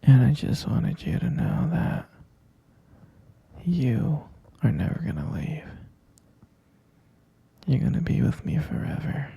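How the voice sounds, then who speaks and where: A man playing a possessive, lovesick kidnapper in an intimate ASMR roleplay, coaxing softly in a breathy half-whisper and repeating himself. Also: mid-range voice, very animated, slow, pauses often, no echo, full character voice. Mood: soothing, obsessive. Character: obsessive lovesick male kidnapper, soothing and possessive yandere.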